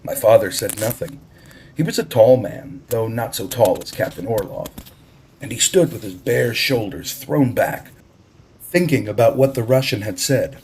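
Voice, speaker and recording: A man narrating an audiobook in a deliberate, expressive storytelling style. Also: mid-range voice, a little hiss or hum, rough recording.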